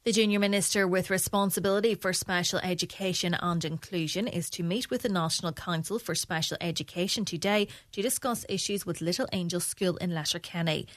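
Woman, Irish accent, slightly low voice, scripted news reading, dry studio sound. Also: a little fast, hardly any pauses, no echo. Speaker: news presenter.

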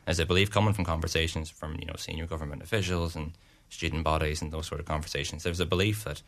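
Very deep, gravelly young Irish man. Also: fairly even intonation, fairly bright tone. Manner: halting, many restarts and fillers. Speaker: student union representative. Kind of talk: radio interview answers.

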